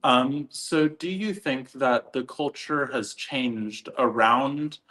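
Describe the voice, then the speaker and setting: A man moderating a discussion, phrasing his thoughts in a measured, wordy and hesitant way. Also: mid-range voice, pushed and bright.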